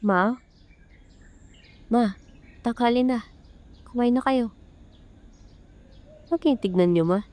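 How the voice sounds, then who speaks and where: Woman acting fairly high, frank Filipino teenager. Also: slow, pauses often, darkish tone. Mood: coaxing, frank. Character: frank, caring teenage younger sibling. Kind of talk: Filipino amateur radio drama acting.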